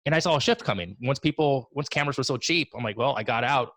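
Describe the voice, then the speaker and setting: A man in his forties on a video call from his home office, talking in a relaxed, rambling, self-deprecating way. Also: mid-range voice, fast, dry recording. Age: 40s. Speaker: guest. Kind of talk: casual video call interview.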